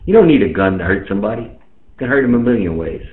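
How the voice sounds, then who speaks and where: A man's voice: on the low side, very animated, quick pace. An American man chatting casually over amateur radio in an emphatic, streetwise way, repeating phrases to drive them home. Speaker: amateur radio operator in a round-table chat. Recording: tinny, echoey radio transmission.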